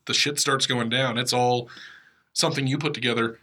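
An American man with a bright, forceful voice at an average pitch co-hosts a podcast. He explains things conversationally, drifting into wry asides. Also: fairly even intonation, moderate pace, breathy edge. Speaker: podcast co-host and writer. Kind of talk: casual explanatory chat. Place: podcast studio.

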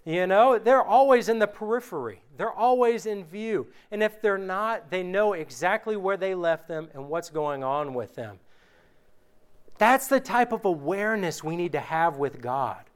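A man preaching an informal sermon, reading scripture aloud slowly and conversationally, his voice worn from recent illness. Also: high voice, animated, clear, resonant tone. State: recovering from illness, voice going.

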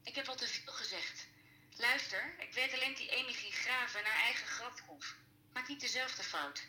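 Former pub landlady: gruff, scornful, wary, piercingly bright tone, echoing room, radio drama. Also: on the high side, normal speed. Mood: bitter, warning. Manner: blunt, scornful, folksy turns of phrase. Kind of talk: naturalistic audio drama dialogue.

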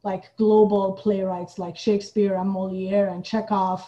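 A slightly dull, lo-fi recording carries a middle-aged woman with an Iranian accent. Her tone is on the mellow side, at an ordinary pitch. She talks conversationally, as a guest being interviewed. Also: little pitch movement, a little slow. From Iran. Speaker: guest, playwright and theater director.

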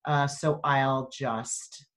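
A woman's voice: extremely low pitch, fairly even intonation, extremely slow. A woman in her sixties, a coach and podcast guest, talking earnestly and reflectively about purpose, often restarting her sentences. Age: 60s. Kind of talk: casual spiritual conversation.

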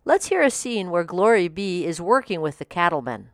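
A woman's voice: fairly low pitch, very animated, moderate pace, no echo, studio quality. A woman reading a scripted review as a podcast host.

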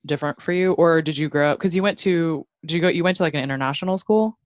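An interviewer asks friendly, probing questions in an easy, casual style. The voice is smooth and mid-pitched. It is recorded close and dry, with no echo. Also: quick pace. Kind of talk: casual conversation.

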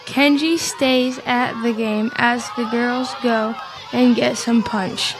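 A woman's voice: a little high, steady tone, slow, noisy background. A young woman reading scripted narration for an amateur radio play.

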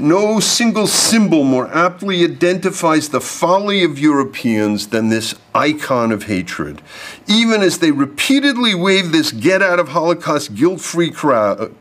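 A man's voice: medium pitch, a bit bright. A man delivering a prepared lecture to an audience, reading formal text and adding off-script asides. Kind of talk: prepared speech read to an audience.

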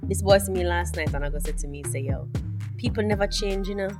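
Animated Jamaican woman telling stories. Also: fairly low pitch, fast, over loud music. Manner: animated storytelling, swears freely. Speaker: podcast co-host. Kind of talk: casual chat.

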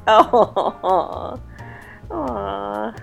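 Raspy woman half losing her voice. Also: medium pitch, lively intonation, drawn-out words, soft background music. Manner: chatty, laughs often. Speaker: podcast co-host. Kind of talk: casual chat.

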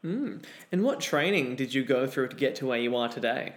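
A man's voice: medium pitch, lively intonation, normal speed. A man hosting an interview show, introducing guests and asking prepared questions. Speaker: podcast host and interviewer.

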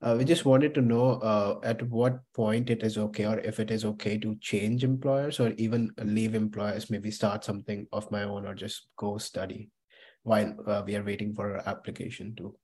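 Male caller, lowish and slightly rough-edged, hesitant, asking questions conversationally. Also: little pitch movement, moderate pace, a little mellow.

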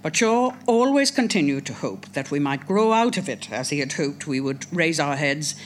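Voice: medium pitch, sing-song, moderate pace, slight background noise. A speaker from Ireland, giving a prepared talk in a wry, emphatic manner with ironic asides. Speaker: speaker on a writer. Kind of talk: prepared talk, read aloud.

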